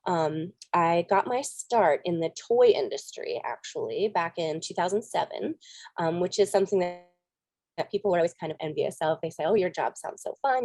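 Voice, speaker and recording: A woman's voice: on the low side, expressive, moderate pace, darkish tone, dry recording. An American woman in her thirties with a slight cold, chatting over a video call as a guest designer, friendly and full of ums. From United States. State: sniffly with a cold. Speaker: guest speaker, graphic designer. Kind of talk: casual video-call chat and talk.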